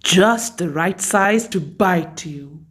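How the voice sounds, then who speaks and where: A woman's voice: fairly low pitch, lively intonation, slow, a bit bright, voice pushed down. A woman voicing a wicked, sly male wolf in a children's storybook, with a menacing manner. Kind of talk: children's storybook read-aloud.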